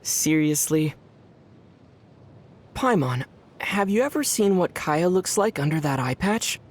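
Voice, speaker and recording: An actor playing a calm young male traveler hero in a video game who answers in a dry, deadpan way. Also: a little high, takes it slowly. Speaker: player character. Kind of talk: video game character banter.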